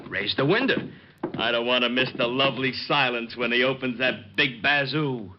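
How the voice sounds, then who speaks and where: An actor playing a grouchy, excitable, sarcastic male neighbor in old-time radio comedy style. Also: mid-range voice, normal speed, natural voice. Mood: sly, impatient. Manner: sarcastic, blustering. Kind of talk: 1940s-50s radio comedy acting. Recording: muffled, noisy old radio recording with clicks.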